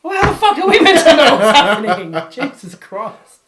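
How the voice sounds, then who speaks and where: A man's voice: extremely high pitch, very animated, moderate pace, a crowd in the background. An Australian man chatting casually on a film review podcast in a blunt, sweary way. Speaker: podcast host reviewing films. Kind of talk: casual chat.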